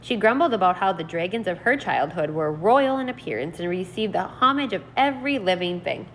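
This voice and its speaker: A woman teacher reading aloud and explaining in an expressive, deliberate, instructional way. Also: fairly low pitch, a little hiss or hum, poor audio. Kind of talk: read-aloud lesson, narrating and explaining vocabulary.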